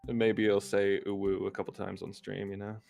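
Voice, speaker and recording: A man with a fairly low voice chats casually on a livestream as music plays behind him. He speaks a little hesitantly and pokes at himself as he goes. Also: flat delivery, a little fast, gravelly, a little mellow. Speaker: ASMR content creator, stream guest.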